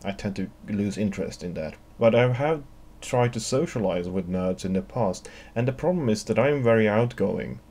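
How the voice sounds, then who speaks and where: A man's voice: on the low side, animated, moderate pace, darkish tone. A man with a Swedish accent answering viewer questions alone in a dry, wry, wordy monologue. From Sweden. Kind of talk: solo Q&A monologue.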